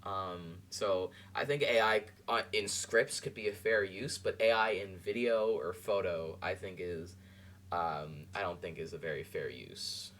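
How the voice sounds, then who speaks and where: A man hosting a podcast, reacting to a clip in a rambling, halting way with many fillers. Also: low-pitched, a bit bright, a little hiss or hum. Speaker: podcast host. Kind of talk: casual commentary over a clip.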